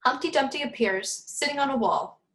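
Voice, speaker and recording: A woman's voice: sits high, lively intonation, a little fast, fairly bright tone, rough recording. A woman giving scripted story narration for a dramatic reading.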